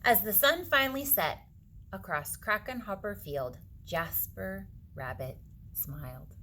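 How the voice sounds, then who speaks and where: A woman reading a picture book aloud to children, dramatically, with hushed suspenseful moments and asides to her young listeners. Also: medium pitch, slight background noise. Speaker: read-aloud storyteller for children.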